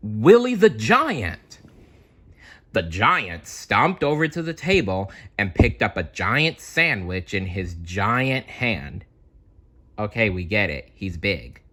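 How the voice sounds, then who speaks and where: A man reading a children's story aloud with playful, dramatic, expressive narration. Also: fairly low pitch.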